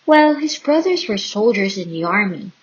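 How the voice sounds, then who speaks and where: A woman reading a children's Bible story aloud in an expressive, dramatic and deliberate storytelling style. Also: mid-range voice, slightly rough, muffled recording. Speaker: storybook reader.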